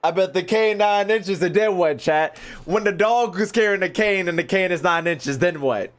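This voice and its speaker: High-pitched, slangy young male game streamer. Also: normal speed. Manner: joking, slangy, repeats phrases for effect. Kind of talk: casual livestream reaction commentary. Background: game music.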